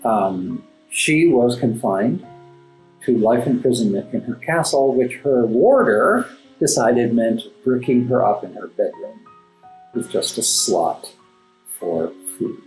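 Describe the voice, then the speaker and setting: A man speaking as an interview guest, explaining and telling stories in a thoughtful, halting way with emphatic asides. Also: medium pitch, very animated, darkish tone. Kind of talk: conversational storytelling and explaining in an interview. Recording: roomy echo, music underneath. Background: classical background music.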